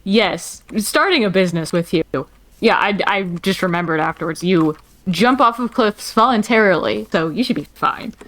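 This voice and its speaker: A woman's voice: mid-range voice, animated, moderate pace, a bit bright. Woman chatting casually in a game. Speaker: gamer, guest collaborator. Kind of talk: casual gaming chat.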